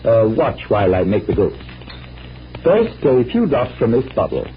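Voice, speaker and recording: A man playing a calm, assured magician detective, measured and instructive, in old-time radio drama style. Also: medium pitch, soft background music. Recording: muffled vintage radio recording.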